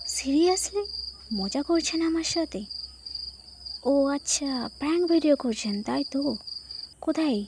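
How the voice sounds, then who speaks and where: Woman acting young office worker, high voice, excitable, questioning, exclamatory cartoon delivery, noisy backdrop.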